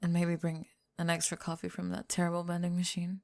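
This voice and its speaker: A woman voicing a young goth bully girl in an ASMR roleplay, halting and defensive as she hides a crush. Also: lowish voice, completely flat delivery, a little mellow, pitched below the performer's own voice. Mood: guarded, coy. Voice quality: breathy. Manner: tsundere, defensive, halting, backtracking.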